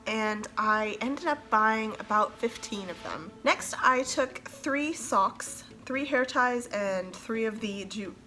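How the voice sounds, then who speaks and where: A woman's voice: fairly high pitch, slow, hardly any pauses, pushed and bright, soft background music, low-quality recording. A young woman with a congested voice from a cold, casually narrating a DIY tutorial as the host of her channel. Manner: chatty, self-deprecating, laughs between phrases.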